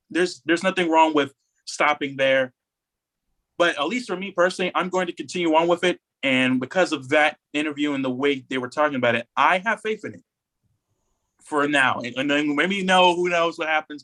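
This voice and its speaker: Bright, higher-voiced man chatting on a podcast. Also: rough recording. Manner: animated storytelling, acts out quotes in slangy speech. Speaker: podcast co-host. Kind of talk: casual podcast discussion.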